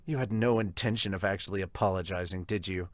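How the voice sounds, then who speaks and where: A man voicing a gruff, stern middle-aged male fire hero. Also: low-pitched, fast, no room sound, studio quality, voice pushed down, lightly acted.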